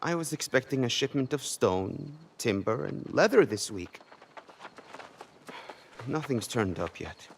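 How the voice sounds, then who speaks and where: An earnest, courteous townsman handing out quests in a fantasy game. His pitch moves a lot while his pace stays slow and deliberate, with faint outdoor ambience behind. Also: big pitch swings, creaky, a little hiss or hum. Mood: worried. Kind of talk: video game character acting.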